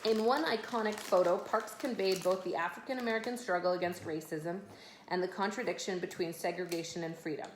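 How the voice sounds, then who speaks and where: A woman's voice: fairly low pitch, steady tone, fairly quick. A mother reading a children's biography aloud at home, with casual asides to her kids. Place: echoey room at home. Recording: echoey room, faint background noise.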